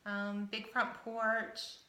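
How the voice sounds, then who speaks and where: A woman's voice: a little high, monotone, extremely slow, sharp tone. An American woman of fifty chatting casually and informally, as if introducing herself for an audition. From Southern Ohio, United States. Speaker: house-hunting show applicant. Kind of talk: casual interview-style chat to camera. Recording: echoey room.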